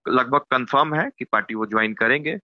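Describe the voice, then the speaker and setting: A man with a Bihari accent explaining politics informally in measured, repetitive phrases as an interviewee on a recorded phone call. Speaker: YouTuber. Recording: muffled low-bandwidth phone call recording.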